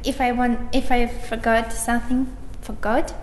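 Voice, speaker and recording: A young woman from Russia speaking English with a Russian accent, a student being interviewed in a lively, laughing manner. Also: on the high side, unhurried. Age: young adult. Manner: animated, laughing, gestures a lot. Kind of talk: casual interview. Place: college lounge by large windows. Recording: echoey room with long reverb and faint hiss.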